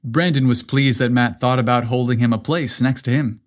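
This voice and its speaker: A man's voice: mid-range voice, steady tone, normal speed. A man narrating a scripted story as the host of a podcast.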